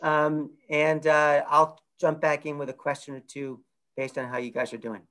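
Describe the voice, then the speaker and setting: A man's voice: fairly high pitch, level tone, normal speed, a bit bright, clear, resonant tone. A man in his fifties opens a webinar on a video call from home, with a loose delivery full of fillers. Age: 50s. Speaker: event moderator. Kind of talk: webinar introduction. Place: home room with shelves.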